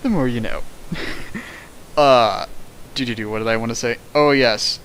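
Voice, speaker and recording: A young man riffing sarcastically on a fanfic over a stream, laughing often and swinging between loud and soft. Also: mid-range voice, normal speed, smooth, rough recording. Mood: jokey. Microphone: home mic on a stream.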